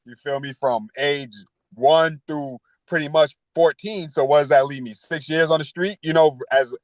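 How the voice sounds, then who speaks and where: A man's voice: fairly high pitch, fairly even intonation, moderate pace, no echo. A man in his thirties speaking candidly as an interview guest, full of street slang and "you know.". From New York. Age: 30s. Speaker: podcast guest.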